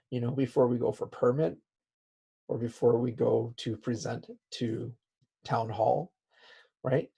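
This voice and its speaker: A man with a raspy, hoarse voice, as he is losing it, teaching a software class with many "okay"s. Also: mid-range voice. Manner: repeats "okay" as a filler. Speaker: instructor. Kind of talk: software tutorial lecture.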